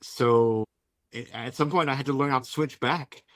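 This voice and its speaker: Danish guy, native danish speaker, chatting casually about his work as an author, mid pitch, really dry recording. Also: moderate pace, a bit bright, no room sound. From Denmark. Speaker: author being interviewed. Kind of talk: casual conversation.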